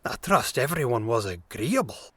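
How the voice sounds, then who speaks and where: An actor playing a pirate captain in an audio drama, courteous and unhurried with quiet menace underneath. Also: male voice, mid-range voice, big pitch swings, slightly rough, no echo. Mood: veiled pressure. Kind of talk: fullcast audio drama acting.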